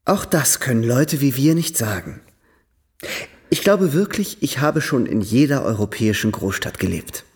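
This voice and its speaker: An actor playing a charming, worldly young European gentleman in a radio drama, polite, effusive and playfully theatrical. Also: medium pitch, expressive, normal speed, breathy edge.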